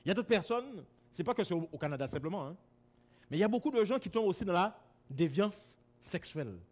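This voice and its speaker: Male preacher from Guadeloupe, lively, fairly high voice, loud bursts and hushed asides. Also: fast. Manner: swings from loud exclamations to low asides, repeats words. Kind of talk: sermon. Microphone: camera-recorded.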